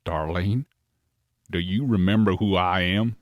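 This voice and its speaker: An actor playing an authoritative, concerned hospital doctor in an audio soap opera, firm and grave. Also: male voice, sits low, a little slow, no room sound, lo-fi sound. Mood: gentle. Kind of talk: soap-opera radio acting. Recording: loud music over the voice.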